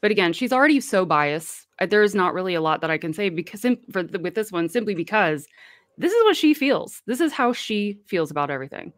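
A woman with a self-described deep voice, speaking as a guest on a livestream panel and calling out critics with passion. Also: sing-song, fairly quick, dry recording, studio quality. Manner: impassioned, speaks out forcefully.

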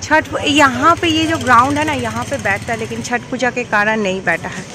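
A woman's voice: a little high, moderate pace. A woman in her thirties chatting to her phone camera while walking a busy city street at night, tired from all the walking. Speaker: vlogger. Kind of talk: casual walking vlog. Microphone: phone held at arm's length. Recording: loud street noise and crowd. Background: traffic and crowd noise.

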